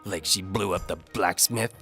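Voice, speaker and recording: A man voicing a blustering, forceful mercenary villain in an audio drama, who passes himself off as English. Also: low voice, unhurried, gravelly, faint music behind, voice pushed up, full character voice. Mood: taunting. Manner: forceful, sputtering when exposed.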